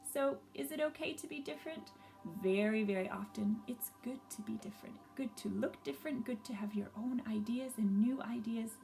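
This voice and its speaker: A woman reading a story aloud for young children, gently and expressively, pausing to ask them questions. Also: fairly high pitch, vocal fry, soft background music.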